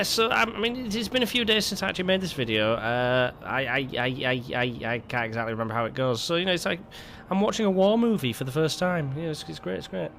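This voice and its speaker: A man's voice: on the high side, sharp tone, slight background noise. A man hosting casual gameplay commentary, rambling with stumbling restarts and a lingering cough. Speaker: gaming video host.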